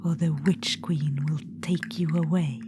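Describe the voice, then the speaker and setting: A haughty undead witch queen , whispered by a woman, murmurs in a low, unhurried hush, condescending and fond of calling you darling. Her soft whisper sits over a steady background hiss. It is an ASMR roleplay. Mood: wistful. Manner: teasing, condescending, calls listener darling. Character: ancient undead witch queen, vain and imperious.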